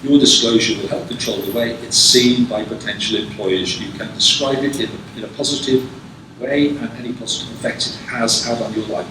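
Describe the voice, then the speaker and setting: A man giving a conference presentation and taking questions, measured and prone to restarts, recorded from a distance in a conference hall. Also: medium pitch, fairly even intonation, background noise. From England. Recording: echoey hall, distant mic.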